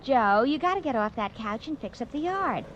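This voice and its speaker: A woman playing a brisk, nagging, quick-witted suburban wife in a 1950s radio comedy sketch. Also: high voice, lively intonation. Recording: muffled old radio broadcast, faint noise.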